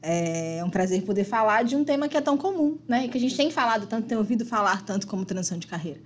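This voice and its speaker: A woman in her thirties speaking as a guest on a company livestream, lively and casual. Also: medium pitch, fast talker, a little hiss or hum. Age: 30s. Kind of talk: casual panel talk.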